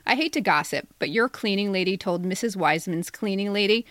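A woman's voice: medium pitch, a little fast, a bit bright, no echo. A woman in her fifties reading a personal satirical letter aloud in a wry, mock-polite way, as a podcast co-host. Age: 50s.

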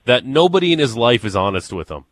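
A man's voice: medium pitch, very animated, quick pace, no echo. A man hosting a sports radio show, chatting in an easygoing, wry and joking way. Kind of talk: casual sports radio chat.

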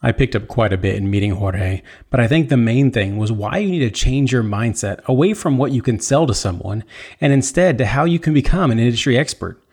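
A man's voice: mid-range voice, expressive, brisk, a little mellow, slightly rough. A man hosting a sales podcast, introducing a guest and chatting in a casual, conversational way. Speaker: podcast host.

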